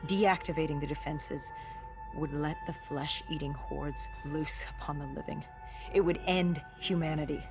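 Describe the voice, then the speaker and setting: An actor playing a grim, battle-worn soldier in a video game, recounting an apocalypse in a grave, halting, deliberate way. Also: medium pitch, fairly even intonation, muffled recording, music in the background, lightly acted. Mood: grim, haunted. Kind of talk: dramatic cinematic narration.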